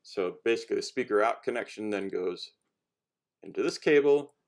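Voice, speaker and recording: A man casually explaining a homemade project in a halting, unscripted how-to style, rephrasing as he goes. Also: on the low side, animated, gravelly, a little hiss or hum. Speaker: hobbyist cosplay maker giving a demonstration.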